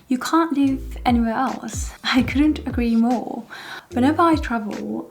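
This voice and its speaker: A young woman from Okinawa, Japan, with a Japanese accent and Japanese as her native language, narrating a scripted vlog in a calm, measured, soft-spoken way. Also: a little high. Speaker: language vlogger.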